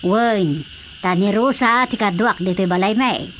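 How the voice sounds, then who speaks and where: A woman's voice: medium pitch, measured pace, a bit bright, lightly acted. A woman playing a stern, admonishing aunt in an Ilocano radio comedy drama, with an Ilocano accent and a matter-of-fact manner. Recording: faint background noise.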